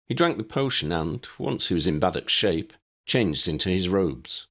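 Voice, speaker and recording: A man's voice: low voice, expressive, normal speed, fairly bright tone. A man reading scripted story narration as an audiobook narrator.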